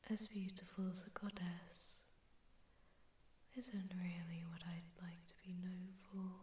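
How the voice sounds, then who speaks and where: A woman voicing a seductive, haughty female demon in a soft, breathy ASMR whisper, condescending and teasing, with an echoing distortion effect. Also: medium pitch. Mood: intrigued, haughty. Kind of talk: whispered ASMR audio roleplay.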